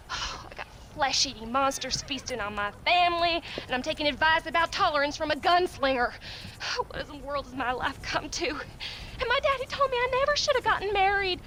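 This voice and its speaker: A bitter frontier wife in a Western game. Her voice is piercingly high and sharp, full of scolding scorn, with faint noise behind it. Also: lively intonation, moderate pace. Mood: sarcastic. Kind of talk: comic video game cutscene acting.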